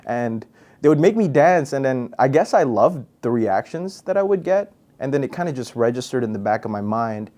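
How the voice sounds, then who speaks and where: Young man from Guam, radio host and MC, relaxed and playful, casual interview tone. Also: medium pitch, moderate pace. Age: young adult. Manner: goofy, laid-back, chatty with fillers. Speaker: radio personality and MC, interview guest.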